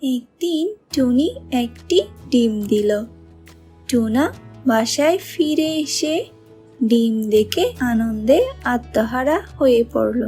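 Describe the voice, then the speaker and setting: A woman reading scripted narration for a children's animated story, as its storyteller. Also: a little high, takes it slowly. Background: music and birdsong.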